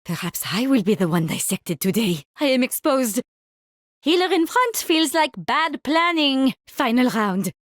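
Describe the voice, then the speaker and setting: A woman's voice: high-pitched, very animated, measured pace, no echo, clean studio sound. A woman playing an eccentric, haughty mycologist with a French accent, theatrical and gleefully morbid, in video game voice lines. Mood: uneasy. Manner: theatrical, savoring, condescending.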